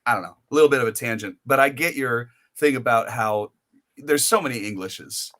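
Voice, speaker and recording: A man's voice: medium pitch, brisk, fairly bright tone. An American man hosting a casual interview, telling rambling stories full of restarts. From United States. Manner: rambling, storytelling with many restarts. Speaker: podcast host.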